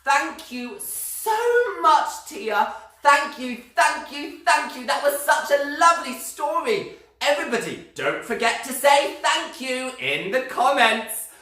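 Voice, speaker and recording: A woman's voice: high voice, expressive, takes it slowly, pushed and bright. A woman hosting a children's online show, speaking in an effusive, gushing, sing-song way. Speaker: story hour host. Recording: very echoey, reverberant room.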